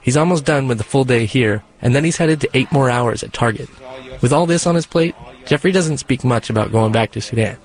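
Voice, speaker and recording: A male radio reporter narrating a feature story. His delivery is measured and even, with a voice of middling pitch. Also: rough recording.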